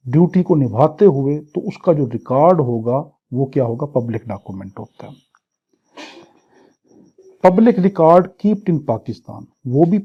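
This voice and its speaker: A man's voice: on the high side, slightly rough, highs cut off. A Pakistani man lecturing for exam students in a didactic way, explaining clauses and posing questions that he answers himself. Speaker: law lecturer. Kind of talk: exam-prep lecture, reading English clauses and explaining them.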